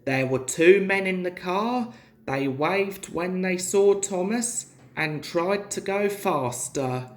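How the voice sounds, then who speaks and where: Slow-paced woman reading a children's story. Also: fairly low pitch, animated. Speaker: storybook reader. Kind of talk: scripted children's story narration.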